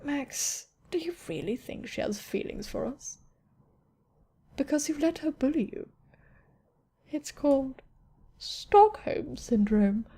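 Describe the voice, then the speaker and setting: A woman's voice: high voice, very animated, slow pace, long pauses, mellow, pitched above the performer's own voice, exaggerated voice. A woman voicing a bitter, taunting young woman, an accusing inner voice in a visual novel.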